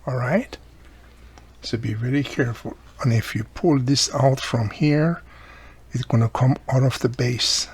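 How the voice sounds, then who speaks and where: A man with a husky, slightly low voice talks through a hands-on job step by step. His delivery is unhurried and plain-spoken, with loose, non-native-sounding grammar. He sounds like a hobbyist instructing casually. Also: a little mellow. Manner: plain-spoken, instructive, often checking with "right?". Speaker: radio repair hobbyist demonstrating a restoration. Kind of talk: casual step-by-step tutorial narration.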